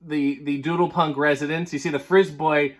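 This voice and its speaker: A man's voice: a little high, fairly even intonation, normal speed. A man reading a children's book aloud as host, with wry, mock-concerned comic commentary and frequent digressions.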